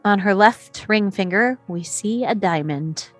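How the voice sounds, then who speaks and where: A woman's voice: mid-range voice, measured pace, faint music behind. A woman reading scripted stage directions as the narrator of a screenplay table read.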